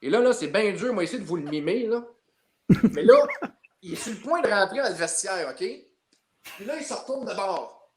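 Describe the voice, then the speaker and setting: A man with a Québécois French accent, a sports journalist guest telling a lively story in a podcast chat. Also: high voice, normal speed, long pauses, a little hiss or hum. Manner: lively, builds up his story with repeated tags.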